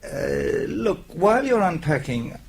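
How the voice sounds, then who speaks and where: Courteous, preoccupied husband, animated pitch. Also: male voice, takes it slowly, gravelly, slightly rough, heavily acted. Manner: courteous, hesitant. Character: polite, preoccupied husband caught up in espionage. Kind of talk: spy radio drama acting. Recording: noisy scene ambience.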